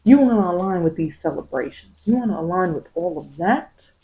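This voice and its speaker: A woman with a lowish, very soft-edged mellow voice gives a tarot reading in an informal ASMR style. She coaches the listener directly, repeating phrases for emphasis. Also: lively intonation, brisk. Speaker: tarot reader.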